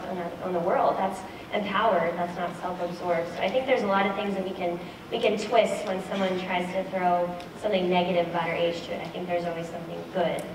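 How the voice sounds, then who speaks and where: A woman's voice: on the low side, noisy background. A woman in her twenties giving a candid, earnest talk from a large auditorium stage on a headset mic, with frequent ums and restarts. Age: 20s. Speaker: speaker. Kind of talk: conference talk. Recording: echoey hall, heard from far off.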